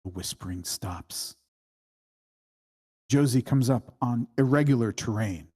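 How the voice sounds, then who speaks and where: A narrator reading screenplay stage directions at a table read in measured, deliberate short phrases. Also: medium pitch, mellow, breathy edge. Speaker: screenplay reader.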